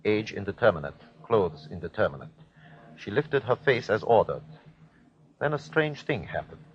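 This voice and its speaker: Ruthless German captain, dull old recording. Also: male voice, lowish voice, big pitch swings, moderate pace. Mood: cold, reporting. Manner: commanding, clipped, declamatory. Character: ruthless, fanatical German army captain commanding executions. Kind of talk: old-time radio drama acting. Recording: dull vintage radio recording.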